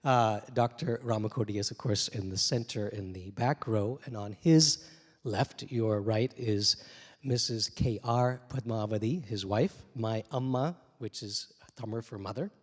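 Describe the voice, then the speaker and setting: A man in his forties, a little hoarse, telling a personal story on a theater stage into a handheld mic, reflective and gently humorous with many small pauses and fillers. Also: lowish voice. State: slightly hoarse from many interviews. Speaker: translator and poet. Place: theater stage, dark room. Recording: long reverb of a theater hall.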